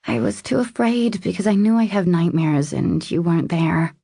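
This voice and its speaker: A woman narrator voicing a guarded, vulnerable woman in an audiobook romance, speaking softly and hesitantly as she confides. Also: lowish voice, lively intonation, moderate pace, gravelly, slightly rough, dry recording, studio quality, heavily acted. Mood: shy confession. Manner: hesitant, confessional, soft. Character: vulnerable, guarded young woman opening up to her lover.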